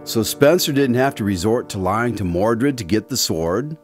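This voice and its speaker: A man's voice: fairly low pitch, expressive, moderate pace. A man hosting a radio drama, delivering scripted banter and credits in an announcer's role. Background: plucked guitar music.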